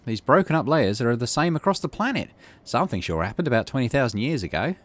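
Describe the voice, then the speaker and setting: A man's voice: mid-range voice, big pitch swings, quick pace, voice pushed up. A man voicing a friendly, matter-of-fact woman geologist in a video game, explaining things in a folksy way.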